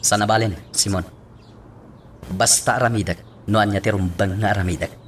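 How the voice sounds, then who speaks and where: Man acting a lowish-voiced, resolute man. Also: steady tone, a little fast, slight background noise, close to an everyday voice. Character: determined, principled man set on stopping wrongdoing. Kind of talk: radio drama acting.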